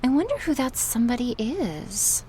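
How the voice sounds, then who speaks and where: A woman acting confrontational women in a vampire crime syndicate roleplay, speaking deliberately in a dramatic audio style. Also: fairly high pitch, very animated, warm tone, a little hiss or hum. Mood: mocking.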